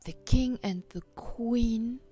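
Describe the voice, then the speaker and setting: A woman telling a fairy tale dramatically, in simple, halting phrases with pauses for effect. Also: a little high, warm tone, breathy edge, faint music behind. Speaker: storyteller.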